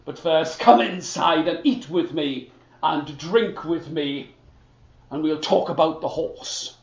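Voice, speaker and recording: A man's voice: fairly high pitch, slow pace, creaky, roomy sound, muffled recording. A man doing a pompous, greedy fairy-tale king. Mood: demanding.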